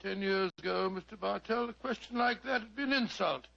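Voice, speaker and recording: An actor playing an elderly British doctor telling stories on radio, with a blustery, avuncular, slightly hesitant manner. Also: male voice, high voice, moderate pace, vocal fry, a bit bright, exaggerated voice. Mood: genial, musing. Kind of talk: 1940s radio drama acting. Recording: muffled vintage radio recording.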